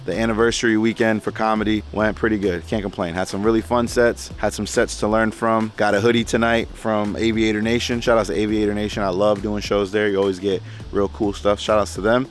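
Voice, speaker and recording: A young man talking casually to camera in a vlog, relaxed and reflective. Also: on the low side. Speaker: vlogger.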